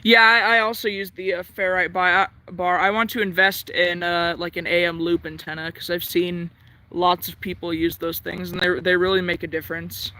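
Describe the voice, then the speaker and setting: An American man giving a casual hobbyist demonstration, thinking aloud in a halting way, outdoors. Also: high voice, level tone, pushed and bright. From United States. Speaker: radio hobbyist presenting. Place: outdoors in the sun.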